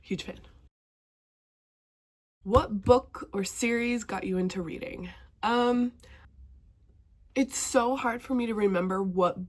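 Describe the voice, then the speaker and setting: A woman's voice: fairly high pitch, takes it slowly, pauses often, a little mellow. A young woman vlogging casually about books, chatty and enthusiastic, her voice a bit stuffy from a cold. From northwestern Montana. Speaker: BookTube vlogger. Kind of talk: casual talking-to-camera vlog.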